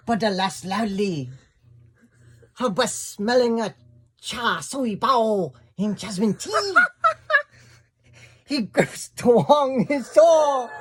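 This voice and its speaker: A man in his thirties reading a children's picture book aloud to his toddler in a small bedroom, recorded close on a phone. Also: extremely high pitch. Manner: put-on accent in quoted lines, slow and laughing. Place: small bedroom at home, indoors. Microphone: phone camera held close.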